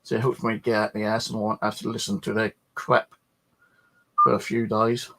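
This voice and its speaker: A man's voice: fairly low pitch, level tone, fairly quick, gravelly, pushed and bright, rough recording. A man chatting casually and ramblingly about his own life, grumbling and swearing in a colloquial way. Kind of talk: casual rambling personal chat.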